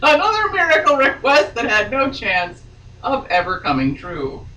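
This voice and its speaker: A woman's voice: high voice, huge pitch swings, moderate pace. A woman giving a theatrical, mock-serious dramatic reading of a romance novel as a guest reader. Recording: echoey room, distant mic, faint noise.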